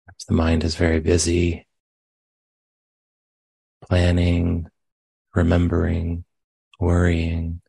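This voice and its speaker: Guy doing guided meditation, really deep gravelly voice, gentle, takes his time with long gaps. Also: monotone, no echo. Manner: gentle, unhurried, with long pauses. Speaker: meditation teacher.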